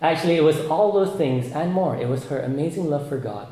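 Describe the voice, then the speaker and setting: Malaysian-born guy preaching, earnest, keeps repeating words, kinda high voice. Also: expressive, fairly quick, dark tone, echoey room, poor audio. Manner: earnest, repeats words while building points. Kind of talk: sermon.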